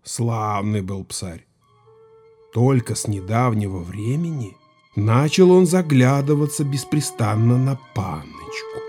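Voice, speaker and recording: An actor playing a rustic Cossack villager who spins an eerie tale in a folksy, emphatic way, in an audio play. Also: male voice, mid-range voice, warm tone, close to an everyday voice. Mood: admiring, excited. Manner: folksy, emphatic, exclamatory storytelling. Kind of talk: theatrical audio play acting.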